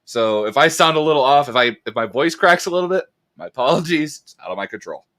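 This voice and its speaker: A man hosting a casual live talk show, chatty and rambling and joking at himself, his voice a bit raspy today. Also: medium pitch, expressive, fast talker, a bit bright. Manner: chatty, rambling, self-deprecating. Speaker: podcast host. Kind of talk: casual chat.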